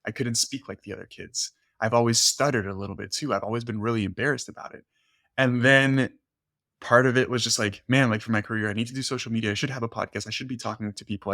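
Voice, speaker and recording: A man's voice: medium pitch, fairly bright tone, no echo, clean studio sound. A man whose first language is Spanish, talking casually as a podcast host and often checking in with "right?". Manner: fast, filler-heavy, says "right?" often. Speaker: podcast host, nutrition coach.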